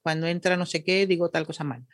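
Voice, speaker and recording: A woman's voice: lowish voice, fairly even intonation, quick pace, no room sound, studio quality. A woman, a radio announcer and podcaster, chatting casually as a guest and laughing at herself. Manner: chatty, self-deprecating, laughing. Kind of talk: casual interview chat.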